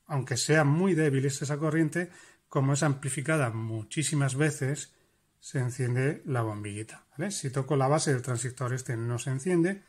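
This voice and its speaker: A man teaching an electronics lesson. He explains each step as he works and keeps checking in with his listeners. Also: on the high side. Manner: explanatory, checks in with frequent "vale?". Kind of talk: hands-on lesson demonstrating and calculating.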